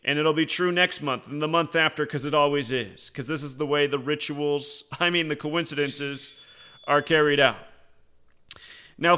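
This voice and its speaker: A man's voice: on the high side, bright, forceful tone, smooth. An American man delivering an unscripted commentary as an online show host, in a sardonic, pointed manner. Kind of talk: unscripted conspiracy monologue and commentary.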